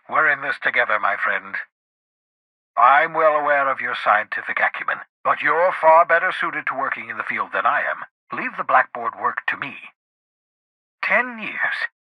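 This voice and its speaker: Cranky eccentric male scientist, very bright sharp raspy voice, swooping intonation, fussy and wordy, video game character. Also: medium pitch, no echo, exaggerated voice, rough recording. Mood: earnest, explanatory. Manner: fussy, wordy, dramatic, with muttered asides. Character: cantankerous eccentric male scientist, socially awkward fugitive. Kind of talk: theatrical video game voice acting.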